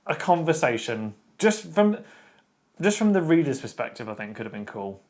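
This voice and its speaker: A man's voice: mid-range voice, very animated, normal speed, a little mellow. A man co-hosting a casual book discussion, speaking in a dry, matter-of-fact way with frequent restarts. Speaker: co-host. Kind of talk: casual chat.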